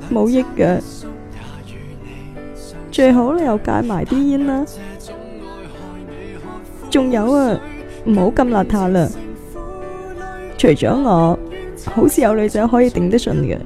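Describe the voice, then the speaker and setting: A woman's voice: fairly high pitch, warm tone. A woman playing a tender, tearful young ghost bride in radio drama. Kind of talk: Cantonese radio drama acting. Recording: music under the voice.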